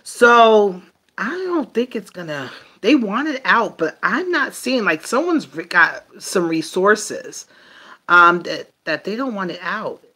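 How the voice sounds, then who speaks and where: A woman doing a casual, improvised tarot reading for viewers, thinking aloud in a halting way and trailing off mid-phrase. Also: medium pitch, sing-song, sharp tone, husky. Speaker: tarot reader.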